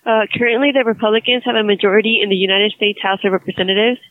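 A woman's voice: medium pitch, fairly even intonation, racing, no echo. A woman survey caller reading polite scripted questions over the phone. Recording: tinny dry phone line.

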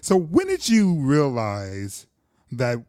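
A man's voice: mid-range voice, huge pitch swings, takes it slowly, dark tone, clean tone, dry recording, clean studio sound. Playful man hosting an interview. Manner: playful and effusive, with sassy asides. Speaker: podcast host. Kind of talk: conversational interview.

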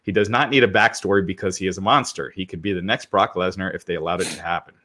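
A man with a lowish voice co-hosts a casual podcast. He sounds easygoing and agreeable and reads listener comments aloud. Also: quick pace, sharp tone. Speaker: podcast co-host.

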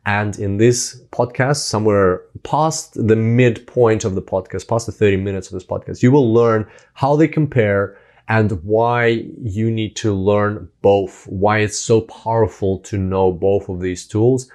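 A man's voice: fairly low pitch, measured pace, darkish tone. A man hosting a podcast, introducing his guest with enthusiastic, filler-filled talk. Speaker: podcast host.

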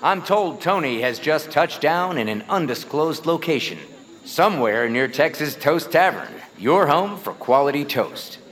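A man playing a showy radio host and emcee with a booming showman delivery in an audio drama. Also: animated, unhurried, a bit bright. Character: showy male radio announcer.

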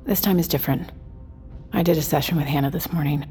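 A female lead scientist with a lowish voice, guarded and strained. She speaks hesitantly and protectively, in a naturalistic sci-fi audio drama. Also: fast talker, dark tone, faint music behind. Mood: evasive, guarded.

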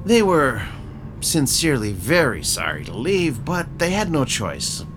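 An actor playing a courteous, faintly sinister landlord in an audio drama, formal, measured and evasive. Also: male voice, medium pitch, wildly swooping pitch, music in the background. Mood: smoothly evasive. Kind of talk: modern audio drama acting.

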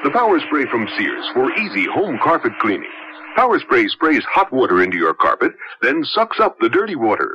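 A man's voice: mid-range voice, huge pitch swings, moderate pace. A man announcing a scripted 1970s radio advertisement. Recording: muffled radio broadcast, faint noise.

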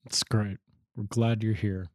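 A man hosting an interview podcast, introducing his guests in a gentle, rambling way. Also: fairly low pitch, level tone, a little slow, dry recording, studio quality. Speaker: podcast host.

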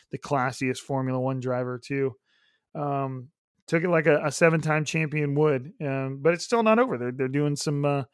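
A young man hosting a solo podcast, his voice raspy and hoarse, rambling casually with many fillers. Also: medium pitch, dry recording, clean studio sound. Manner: rambling, upbeat, lots of fillers. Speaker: podcast host.

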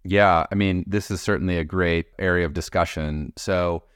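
A man's voice: low voice, steady tone, normal speed, clean tone, no room sound, studio quality. A man answering interview questions about his company, thoughtful, with fillers and restarts. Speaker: podcast network executive.

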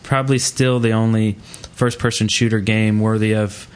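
A man hosting a casual gaming podcast, talking in a halting, rambling way. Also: on the low side, flat delivery, clean tone. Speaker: podcast host.

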